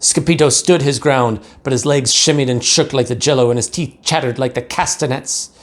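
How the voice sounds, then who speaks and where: A man reading a picture book to young children with theatrical, drawn-out emphasis on key words. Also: medium pitch. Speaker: pastor reading a picture book to second graders. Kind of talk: animated children's storybook read-aloud.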